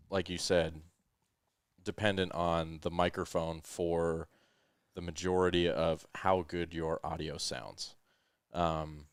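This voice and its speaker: A man with a smooth, very deep voice talks through podcast gear in a laid-back, meandering way, often pausing on ums and uhs. He speaks into a headset microphone, and the sound is close and dry with no room around it. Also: dry recording. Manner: relaxed, rambling explanations with frequent ums and uhs. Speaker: podcast host. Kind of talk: casual explanatory tech chat. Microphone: headset microphone with headphones.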